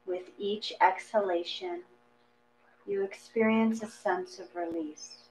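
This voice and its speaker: Slow-paced woman guiding a meditation. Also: mid-range voice, steady tone. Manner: gentle, measured, with long pauses between phrases. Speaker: guided meditation reader. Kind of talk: slow guided meditation, read from a script. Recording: dull lo-fi audio with mains hum.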